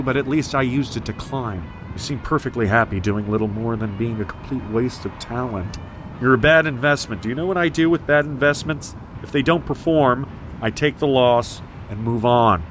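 A man's voice: medium pitch, fairly bright tone, natural voice. An actor playing a cold, domineering middle-aged father who lectures with contempt in an audio drama. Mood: scornful, commanding. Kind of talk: dramatic audio fiction dialogue. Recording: faint car and outdoor noise.

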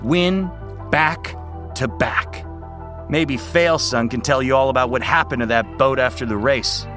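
A man's voice: medium pitch, a little slow, music in the background, close to an everyday voice. A man playing a brash, contemptuous young college rowing captain in an audio drama, barking and taunting with a commanding manner. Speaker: team captain.

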